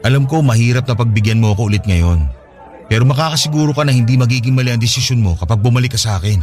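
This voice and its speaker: A remorseful young boyfriend played by a male actor in a Filipino radio drama, with a Filipino accent. He pleads earnestly in a mid-pitched voice that swings widely up and down. Faint music sits behind him. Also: lively intonation, rapid-fire, darkish tone, close to an everyday voice. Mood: pleading. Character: remorseful, pleading young man winning back his girlfriend.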